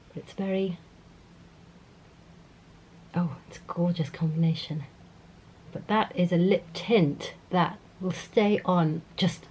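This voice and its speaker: A woman's voice: fairly low pitch, darkish tone, slight background noise, rough recording. A middle-aged woman vlogging casually about makeup, chatty and enthusiastic, still congested from a cold. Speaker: beauty vlogger. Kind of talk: casual vlog talk to camera.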